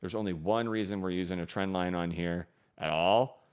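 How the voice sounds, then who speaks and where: A man's voice: low voice, fairly even intonation, normal speed, clear, resonant tone. A man hosting a live stream, reading viewer messages and answering in a casual, rambling way with many restarts. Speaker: crypto livestream host. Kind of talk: live-stream chat reading super chats. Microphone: studio microphone.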